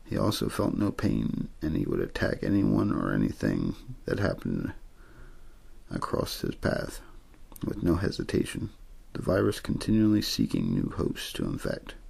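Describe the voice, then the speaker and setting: A man reading a novel aloud as a quiet ASMR bedtime story, in an understated, untheatrical way with a low, gravelly, husky voice. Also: huge pitch swings, moderate pace.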